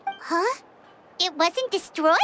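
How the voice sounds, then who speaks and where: An actress voicing a tiny, earnest, childlike companion creature with a squeaky voice in a video game. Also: female voice, animated, slow, noisy background, heavily acted. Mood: surprised.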